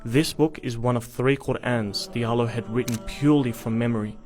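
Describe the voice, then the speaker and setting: A man reading scripted documentary narration in a measured, articulate storytelling manner. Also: medium pitch, darkish tone, soft background music.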